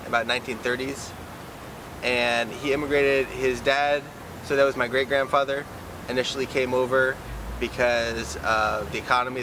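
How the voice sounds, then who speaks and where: A young student speaks in an even, fairly flat voice at a middle pitch, recounting family history matter-of-factly. He is recorded outdoors with steady street noise behind him. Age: young adult. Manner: relaxed, matter-of-fact storytelling. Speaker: student interviewee. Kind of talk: casual street interview.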